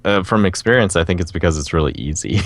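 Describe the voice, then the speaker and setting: A man with a very low voice co-hosts a loose gaming chat. His pitch moves around a lot as he rambles enthusiastically. He often laughs his way through what he says. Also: expressive, normal speed, no echo. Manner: laughs often while talking. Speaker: podcast co-host. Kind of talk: casual video game chat.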